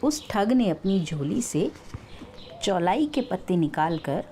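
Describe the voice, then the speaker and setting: A woman's voice: on the low side, very animated, slow pace, dark tone. Woman storyteller, noisy recording with birdsong. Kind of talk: scripted story narration.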